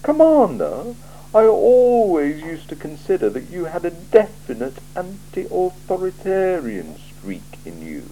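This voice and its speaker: Man reading as a sardonic male ruler, slow and measured, dry probing questions, mellow tone. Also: fairly high pitch, huge pitch swings, a little hiss or hum, voice pushed up, low-quality recording. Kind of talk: audiobook character voice.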